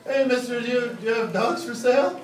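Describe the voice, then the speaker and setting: A man's voice: extremely high pitch, fairly quick, pitched above the performer's own voice. Man acting earnest little boy, echoey room. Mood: hopeful, hesitant. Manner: hesitant, pleading. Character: earnest, hopeful young boy wanting a dog. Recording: echoey live room, distant mic.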